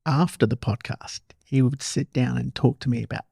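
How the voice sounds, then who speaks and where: An Australian man with a relaxed, mellow voice and a gravelly creak hosts a conversational podcast. He tells stories casually and repeats phrases for emphasis, recorded very dry. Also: mid-range voice, normal speed, dry recording. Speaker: podcast host. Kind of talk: casual interview chat, storytelling.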